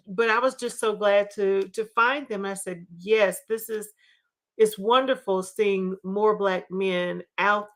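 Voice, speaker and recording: A woman's voice: medium pitch, a bit bright. Enthusiastic woman in her fifties, chatting. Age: 50s. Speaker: panel host. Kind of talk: casual book community chat.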